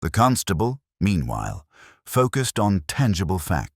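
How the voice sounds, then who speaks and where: A male audiobook narrator with an extremely low voice tells a mystery in a measured, formal way. His pitch moves in lively arcs, and the sound is dry and close, without room echo. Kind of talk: scripted mystery story narration.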